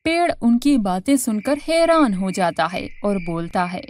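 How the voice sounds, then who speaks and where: A woman's voice: medium pitch, very animated, measured pace, a little mellow, a little hiss or hum. A woman reading scripted narration for a children's story.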